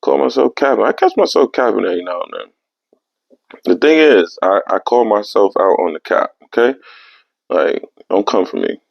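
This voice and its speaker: Young male podcast host, low gravelly voice, animated and slangy, dry lo-fi sound. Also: normal speed, no room sound. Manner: animated, streetwise slang, repeats "you know what I mean". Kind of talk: casual solo podcast monologue. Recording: dry, slightly dull lo-fi sound.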